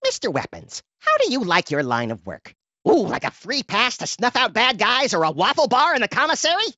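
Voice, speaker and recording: Small, trigger-happy game rabbit: impish, theatrical, hugely swooping delivery, slightly dull audio. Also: male voice, very high-pitched, fairly quick, dry recording, full character voice. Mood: eager, friendly. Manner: impish, theatrical, mock-innocent, swooping between glee and deadpan. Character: hyperactive, gleefully violent little cartoon rabbit vigilante. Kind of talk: cartoon video game voice acting.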